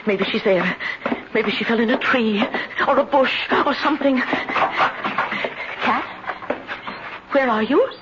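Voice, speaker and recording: An actress playing a frightened, lonely, confused woman who stammers and pleads in a 1970s radio drama. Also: fairly high pitch. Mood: frantic worry. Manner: halting, stammering, tremulous pleading. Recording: dull, noisy 1970s radio recording.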